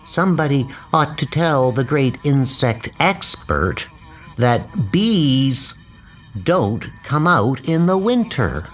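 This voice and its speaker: A man voicing a scornful, teasing girl in a comic book dub, with a mocking drawn-out delivery. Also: mid-range voice, very animated.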